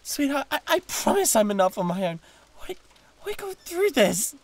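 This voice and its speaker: A man playing a possessive, flustered demon with a British accent and a breathy voice, halting and repeating himself in a flirtatious audio roleplay. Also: extremely high pitch, sing-song, exaggerated voice. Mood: desperate, pleading. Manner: halting, repeating words with wounded pride. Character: jealous, possessive male demon, flustered and pleading.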